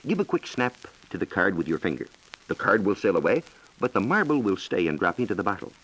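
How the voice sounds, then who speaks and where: An American man explaining science in a measured, lecturing way, as a reporter in a scripted 1940s educational radio dialogue. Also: low-pitched, very animated, a bit bright, poor audio. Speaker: science reporter and guest expert.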